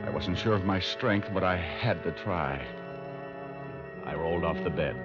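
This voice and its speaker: An actor playing a weary, wry hard-boiled private detective in old radio drama style. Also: male voice, low voice, measured pace. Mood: grim, weary. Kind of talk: 1940s-50s radio detective acting, first-person narration. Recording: muffled vintage radio recording, music behind.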